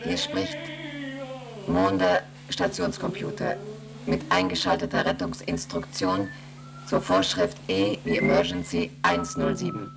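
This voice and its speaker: A woman voicing a cold, rule-bound station computer in a radio play, reciting rules and figures in a flat, mechanical way. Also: extremely low pitch, unhurried, gravelly, loud music behind the voice, full character voice.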